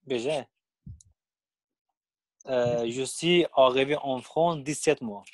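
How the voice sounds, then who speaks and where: Male learner, slow hesitant beginner French, higher voice, dry sound. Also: no echo. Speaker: language learner.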